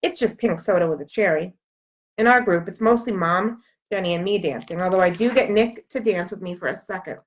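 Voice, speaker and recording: A woman reading a children's book aloud as an expressive storyteller. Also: medium pitch, a little fast. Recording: dull, lo-fi sound.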